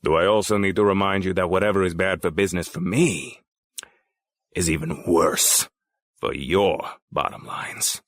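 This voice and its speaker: A man's voice: low-pitched, moderate pace, no room sound, clean studio sound. A man playing a cold, menacing crime boss in an audio drama, speaking in a controlled, condescending and sardonic way. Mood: cold, threatening. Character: cold, menacing male crime boss hosting powerful guests. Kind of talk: scripted audio drama dialogue.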